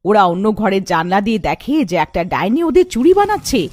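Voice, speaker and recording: A woman's voice: mid-range voice, very animated, brisk, darkish tone. A woman narrating a scripted cartoon story.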